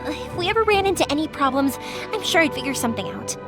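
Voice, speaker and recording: A bubbly, caring young woman from an anime game. Her very high voice bounces up and down, impulsive and reassuring, with light music underneath. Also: lively intonation, quick pace. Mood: concerned, resourceful. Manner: bright-spirited, impulsive, reassuring. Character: Yoimiya, bubbly, caring young female fireworks maker. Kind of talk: anime video game voice acting.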